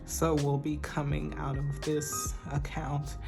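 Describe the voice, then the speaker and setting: Woman, weak shaky voice, low pitch, slow hesitant casual chat, music behind. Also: completely flat delivery, gravelly. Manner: slow and soft-spoken, with hesitant ums and light laughs. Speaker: budgeting vlogger.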